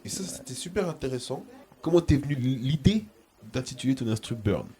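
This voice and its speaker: A man interviewing a musician, asking casual questions in a halting way with many restarts. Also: mid-range voice, lively intonation, warm tone, soft background music.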